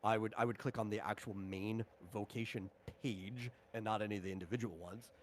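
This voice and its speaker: A man casually explaining the rules of a tabletop game as its host, in a halting way, rephrasing as he goes. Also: on the low side, fairly even intonation, slight background noise.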